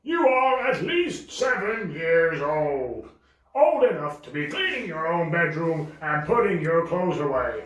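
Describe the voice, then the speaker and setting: A man's voice: on the high side, very animated, measured pace, fairly bright tone. A man voicing a bossy, blustering, greedy dragon father who scolds his son in a homemade children's puppet show. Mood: bossy, scolding. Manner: scolding, exclamatory, swooping. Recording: very echoey room, distant mic.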